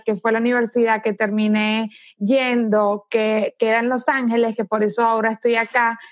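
A woman in her thirties from Venezuela, with a Venezuelan Spanish accent, telling her story as an interview guest and pausing with "eh" and "¿no?" tags. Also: a little high, no room sound. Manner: thoughtful storyteller, frequent "¿no?" tags and "eh" pauses. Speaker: tech startup founder, guest. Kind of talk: conversational interview answers.

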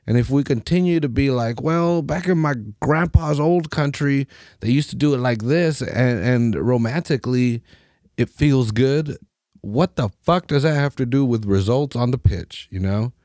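A man from Mexico, hosting a podcast in a profane, animated rant full of mocking voices. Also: medium pitch, normal speed, dry recording, clean studio sound. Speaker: podcast host. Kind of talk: casual opinionated rant.

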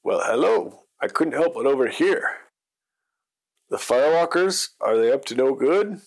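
Man voicing a friendly owl with creaky voice. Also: medium pitch, animated, a little slow, pitched above the performer's own voice. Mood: curious. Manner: polite, obliging. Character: friendly helpful owl companion.

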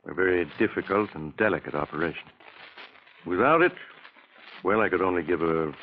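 Actor as an ominous, very deep-voiced male storyteller. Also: a little fast, slight background noise, natural voice. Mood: grave. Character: the Mysterious Traveler, ominous storyteller, also a grave, measured doctor. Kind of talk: 1940s radio suspense acting. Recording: dull old 1940s radio recording with music.

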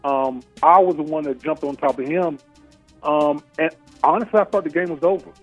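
A man, a former football player, recalling a game in a relaxed, reflective interview. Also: on the high side, moderate pace, lo-fi sound, faint music behind. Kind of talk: documentary interview.

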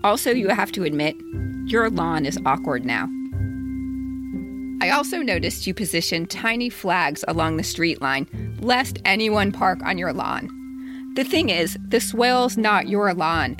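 A woman's voice: mid-range voice, fairly bright tone. A woman in her fifties reading a personal satirical letter aloud in a wry, mock-polite way, as a podcast co-host. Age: 50s.

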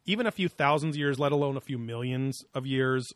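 A man's voice: mid-range voice, a little fast, no echo, clean studio sound. A man co-hosting a casual podcast chat, rambling, laughing often and swearing casually. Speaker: podcast co-host.

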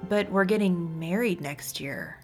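A woman's voice: lowish voice, unhurried, soft background music, close to an everyday voice. A woman voicing a bewildered, wounded young man in a story, speaking in an emphatic, stammering, incredulous way. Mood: desperate. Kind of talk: dramatic audiobook character voice.

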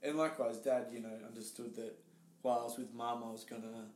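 A man with an Australian accent, a guest telling his story in casual interview chat, relaxed and hesitant with many fillers. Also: mid-range voice, fast. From Tasmania, Australia. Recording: roomy echo.

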